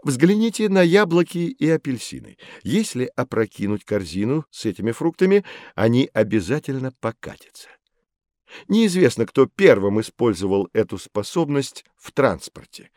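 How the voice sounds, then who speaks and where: A man acts out a knowledgeable guide in an educational audio play. His medium-pitched voice moves expressively as he explains in measured phrases. The studio sound is close and dry. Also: very animated, darkish tone, dry recording, close to an everyday voice. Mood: explanatory. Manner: didactic, measured. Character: knowledgeable, friendly teacher guiding children through history. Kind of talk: scripted educational drama, explanatory.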